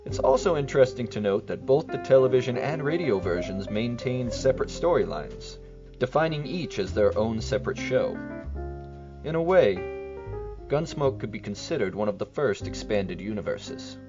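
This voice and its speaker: A man playing a genial, formal host who narrates a scripted radio history show in a measured manner. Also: mid-range voice, lively intonation, lo-fi sound, over music, natural voice. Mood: formal, informative.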